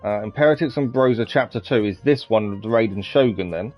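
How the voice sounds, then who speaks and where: Male game streamer, casual commentary, thinking out loud, hesitant, muffled lo-fi mic, music behind. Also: on the low side, a little fast. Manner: musing aloud, hesitant. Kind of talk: casual live gameplay commentary.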